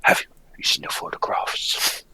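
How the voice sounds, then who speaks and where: A man playing an anguished, accusing circus clown in a radio play, husky and breathy, with halting, intense delivery. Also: sharp tone, in a whisper. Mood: nervous, urgent. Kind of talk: radio play table read.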